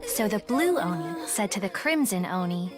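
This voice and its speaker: A woman's voice: mid-range voice, big pitch swings, moderate pace, over music, lightly acted. A woman voicing a shrewd, self-assured detective for hire in a video game, articulate and businesslike. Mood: storytelling. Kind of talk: English video game voice acting, explanatory dialogue.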